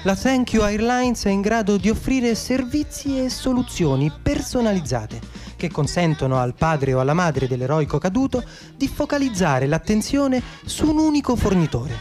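An actor playing a mock-earnest co-announcer on a satirical army radio programme, reading scripted items in a formal, emphatic style. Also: male voice, high voice, lively intonation, moderate pace, darkish tone, soft background music. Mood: slick sales pitch. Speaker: radio co-presenter.

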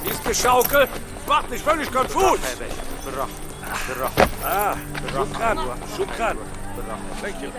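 An actor playing a flustered, jovial man in a radio drama, with a fussy, unhurried manner. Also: extremely high pitch, expressive, full character voice. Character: flustered, jovial man craving cold beer. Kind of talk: German radio drama acting.

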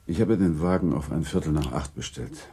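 Very deep, grave gentleman. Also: slightly rough, full character voice. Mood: sober, moved. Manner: measured, formal, resigned. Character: grave, courteous gentleman, confidant and second in a duel. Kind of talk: German radio drama acting.

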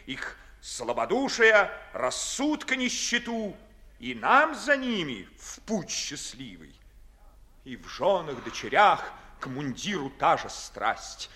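A man's voice: high voice, sharp tone, exaggerated voice. An actor playing a fiery, idealistic young nobleman, declaiming verse with sarcastic passion in 1950s radio theatre style. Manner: impassioned, sarcastic oratory with dramatic swells. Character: Chatsky, fiery young nobleman, bitter, idealistic critic of society.

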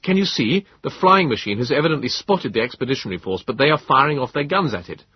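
Guy acting a worked-up gentleman adventurer in an audiobook, pitched up n bellowing, very husky. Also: big pitch swings, normal speed, no room sound, highs cut off, exaggerated voice. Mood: excited, incredulous. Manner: exclamatory, bellowing, incredulous. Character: excitable, indignant gentleman adventurer telling his own tale.

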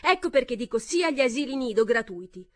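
A woman doing a fervent young mother shouting. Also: fairly high pitch, expressive, fast, no echo, clean studio sound, voice pushed up. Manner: shouting, speechmaking.

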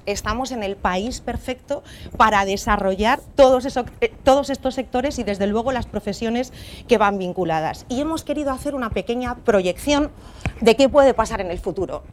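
A woman's voice: a little high, normal speed, slight background noise. A woman with a Castilian Spanish accent presents to an audience in a university auditorium, speaking into a mic. Her delivery is conversational, full of small restarts and asides. She checks in with the room through rhetorical questions. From Spain. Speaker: keynote presenter. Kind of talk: conference presentation.

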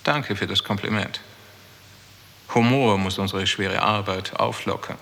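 Casting: a smug, poetry-minded official; low, creaky, leisurely and sardonic, in a reverberant vintage radio play. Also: male voice, a little slow, bright, forceful tone. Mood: amused, sardonic. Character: smug, cynical male superior with poetic pretensions. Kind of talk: 1960s German radio drama acting. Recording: reverberant hall, 1960s radio production.

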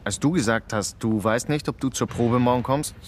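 An actor playing a drunk young stage actor who talks in a hesitant, sheepish way. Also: male voice, medium pitch, normal speed, slight background noise, close to an everyday voice. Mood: tipsy.

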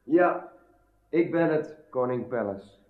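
An actor playing an earnest, guilt-ridden knight in a solemn radio drama. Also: male voice, mid-range voice, animated, slow pace, phone-quality sound. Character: earnest, guilt-ridden knight, humble before his king. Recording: thin, roomy old radio recording.

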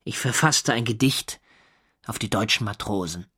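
An actor playing a navy sailor who recounts his wartime diary in measured, dryly observant literary narration for a radio drama. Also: lowish voice, bright, forceful tone, slightly rough, exaggerated voice. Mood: measured, wistful.

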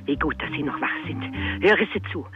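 Nervous Martha on a dull phone line. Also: female voice, sits low, expressive, faint music behind. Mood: urgent, evasive. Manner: urgent, halting, evasive. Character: secretive, nervous female boss Martha on a night call. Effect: telephone filter. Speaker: supporting character. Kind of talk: radio drama acting.

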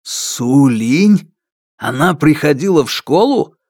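A man narrator plays a shifty, defensive father of a household in an audiobook. He sounds indignant and evasive, with lively pitch in a dry, close recording. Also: takes it slowly, dry recording. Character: defensive, shifty, callous family man under accusation. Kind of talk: audiobook character acting.

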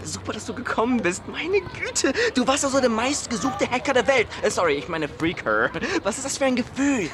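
High, excitable young computer geek. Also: male voice, brisk, few pauses. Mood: starstruck, awed. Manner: gushing, exclaiming in short bursts. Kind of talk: German radio drama acting.

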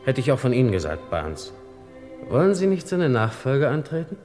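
Actor as animated, sneering studio publicity man. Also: mid-range voice, moderate pace, close to an everyday voice. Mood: disdainful. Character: cynical, disdainful Hollywood studio publicity man. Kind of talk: old-style German crime radio play acting. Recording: loud music behind the voice.

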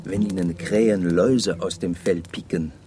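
Actor as low-voiced, wry, musing man. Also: fairly even intonation, normal speed, natural voice. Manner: musing, sprinkles in French words. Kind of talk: radio drama dialogue.

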